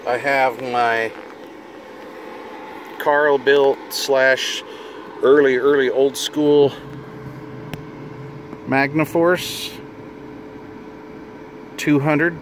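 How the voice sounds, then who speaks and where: A man's voice: medium pitch, steady tone, drawn-out words, long pauses, poor audio. A middle-aged man giving a casual hands-on demonstration as a hobbyist video host, informal and half talking to himself. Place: inside a parked vehicle. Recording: noticeable car and fan noise.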